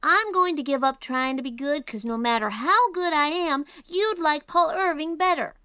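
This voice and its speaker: A woman's voice: high voice, moderate pace, sharp tone, no echo, clean studio sound. A woman voicing a childlike, earnest, sulky little boy in an audiobook drama, with plain-spoken ungrammatical talk. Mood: sulky, defiant.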